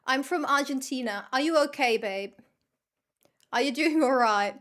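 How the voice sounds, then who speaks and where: A woman's voice: sits high, normal speed, a bit bright. A woman with a Dutch accent chatting casually as she livestreams a game, with a blunt, sweary, teasing manner. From Netherlands. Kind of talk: casual chat while gaming.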